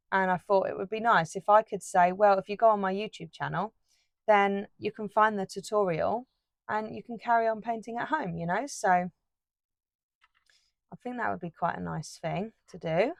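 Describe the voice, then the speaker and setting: A woman chatting casually while she paints, rambling with lots of fillers and restarts, her voice a little croaky. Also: medium pitch, no room sound, studio quality. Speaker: art YouTuber.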